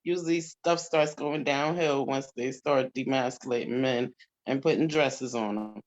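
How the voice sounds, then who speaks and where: Mid-pitched panel participant, informal short remarks, dull lo-fi recording. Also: steady tone, measured pace. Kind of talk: informal panel interview, brief responses. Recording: dull, slightly muffled audio.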